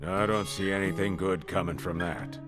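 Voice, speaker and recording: An actor plays an old veteran aviator, a gruff but fond grandfather in a video game. His voice is low, his manner folksy and unhurried, with music behind him. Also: fairly even intonation, natural voice. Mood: gruff, warning. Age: older. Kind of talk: scripted game cutscene dialogue.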